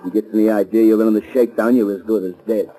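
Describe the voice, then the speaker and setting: A tough, impatient crook acted by a man in a 1930s radio play. His voice is low and mellow-dark, and he snaps out slangy orders brusquely. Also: fairly even intonation, fast, natural voice, poor audio. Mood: bossy, threatening. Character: Rankin, tough impatient male gangster henchman. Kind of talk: 1930s radio drama acting.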